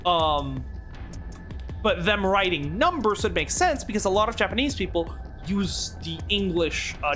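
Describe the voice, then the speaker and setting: A man's voice: on the high side, bright, forceful tone. A man hosting a let's play, talking casually with frequent swearing, stammering restarts and laughter. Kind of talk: casual gameplay commentary.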